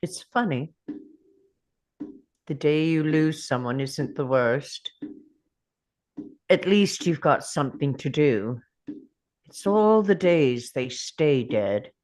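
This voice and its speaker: Guy acting a weary grieving time traveller in a drama read, low slow musing voice, lots of swoops. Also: animated, a little mellow. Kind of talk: script table read, introspective monologue.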